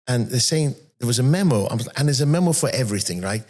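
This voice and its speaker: A soft-spoken man in his fifties from Iran who moved to England as a teenager, telling personal stories as an interview guest. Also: medium pitch, animated, fast talker. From born and raised in Iran, moved to England at 13. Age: 50s. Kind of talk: animated personal storytelling in an interview.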